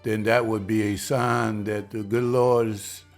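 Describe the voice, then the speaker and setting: A man's voice: fairly low pitch, level tone, measured pace, faint music behind. A man reading the part of William, a hopeful, respectful husband who has just landed a waiter's job, in a table read. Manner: earnest, respectful, says ma'am.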